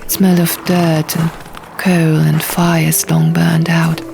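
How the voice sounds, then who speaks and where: Woman reading a fantasy audiobook, unhurried measured storytelling, bit of vocal fry, music underneath. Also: deep voice, monotone. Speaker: audiobook narrator. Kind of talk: scripted first-person fantasy narration. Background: music and sound effects.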